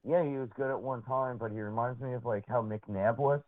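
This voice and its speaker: A man from Long Island with a Long Island accent, talking sports in a rambling, halting way, full of fillers. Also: medium pitch, fairly even intonation, dry recording. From Long Island, New York. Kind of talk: casual sports talk. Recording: tinny phone line.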